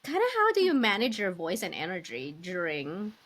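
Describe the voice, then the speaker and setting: A woman's voice: lowish voice, wildly swooping pitch, normal speed, a bit bright. A woman co-hosting a podcast, asking questions and chatting casually with a guest. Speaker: podcast co-host. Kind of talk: casual interview chat.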